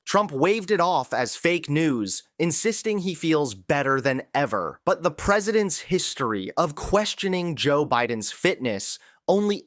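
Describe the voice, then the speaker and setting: A man's voice: fairly high pitch, fairly bright tone, dry recording, clean studio sound. A man reading scripted political news as a dramatic voice-over narrator. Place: dry studio voice-over.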